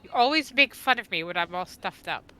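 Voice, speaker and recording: Bright, stuffy-nosed chatty woman. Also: medium pitch, lively intonation, brisk, clear, resonant tone. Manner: chatty, playful. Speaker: co-player. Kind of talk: casual gameplay chat.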